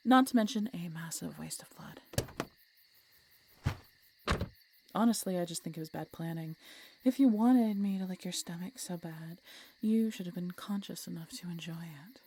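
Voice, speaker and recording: A woman's voice: medium pitch, dark tone, slight background noise, lightly acted. Woman acting a sardonic, teasing vampire woman. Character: teasing, sarcastic, foul-mouthed female vampire travelling with a hunter. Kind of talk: scripted roleplay audio drama.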